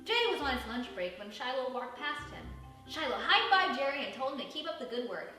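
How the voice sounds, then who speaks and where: A woman narrating a children's storybook in an expressive, animated, dramatic way. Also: sits high, a little fast. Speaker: storybook reader. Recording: echoey room, distant mic, faint music.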